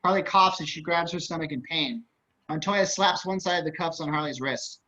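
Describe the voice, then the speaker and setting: A man's voice: fairly high pitch, moderate pace, bright, forceful tone. A man reading out stage directions as narrator of a script table read over a video call. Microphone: computer on a video call. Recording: dull, lo-fi video-call audio.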